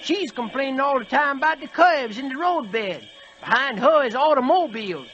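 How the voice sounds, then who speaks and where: A man's voice: very high voice, animated, moderate pace, fairly bright tone. A man playing a grumbling, put-upon old freight train in a folksy drawl, in 1940s radio acting style. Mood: weary grumbling. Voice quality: folksy drawl with dialect grammar. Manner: querulous, self-pitying, scolding. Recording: dull vintage radio recording with music.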